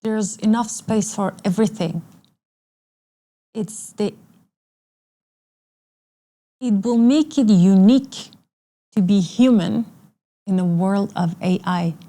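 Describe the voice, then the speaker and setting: Determined Iranian-born woman with a dark, mellow voice. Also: mid-range voice, slow pace, pauses often. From Iran. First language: Persian. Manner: determined, speaks with emphatic repetition. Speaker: model, interview guest. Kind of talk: candid interview conversation.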